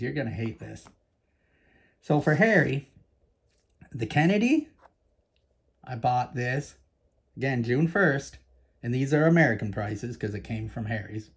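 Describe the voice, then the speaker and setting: A man with a Canadian accent hosting a casual coin-collecting vlog, chatty and enthusiastic, talking straight to his viewers. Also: medium pitch. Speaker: coin collecting channel host. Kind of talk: casual show-and-tell vlog.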